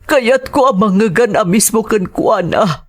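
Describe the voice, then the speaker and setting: A woman's voice: medium pitch, lively intonation, measured pace. A woman playing an anguished, tearful mother of a grown son in an audio drama, speaking emotionally and pleadingly. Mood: hurt, pleading.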